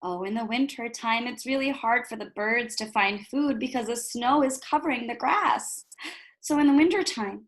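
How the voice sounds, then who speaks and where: A woman with a high voice reads a picture book aloud for young children. Her delivery is expressive and instructive, stepping out of the text to explain things and put little questions to her listeners. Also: normal speed.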